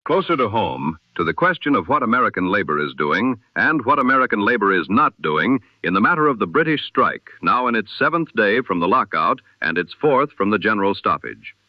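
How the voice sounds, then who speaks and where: A man's voice: fairly low pitch, very animated, fairly quick, hardly any pauses, no echo. A man reading a scripted news bulletin as a formal, solemn announcer in the style of 1920s radio, through an old radio filter. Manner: formal, solemn, rhetorical delivery. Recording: dull lo-fi old radio sound.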